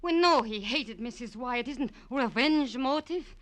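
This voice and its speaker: A woman's voice: high voice, lively intonation, a little slow, a bit bright. A woman acting a distressed, insistent client in an old radio noir drama, with an emotional, pleading delivery. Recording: vintage radio drama recording.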